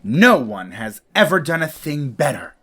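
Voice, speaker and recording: Grandiose self-appointed matchmaker, bombastic and theatrical, husky gravel in a slow, lively delivery, played by a man. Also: fairly high pitch, heavily acted. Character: grandiose male matchmaker Stefan, self-important. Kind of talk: audio comedy drama.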